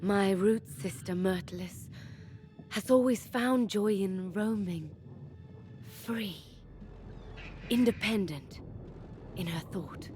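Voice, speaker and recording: A woman voicing a gentle, pensive plant creature in a video game, musing in short, broken phrases. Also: mid-range voice, takes it slowly, long pauses, close to an everyday voice. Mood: earnest, gentle. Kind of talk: scripted video game character dialogue. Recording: music behind the voice.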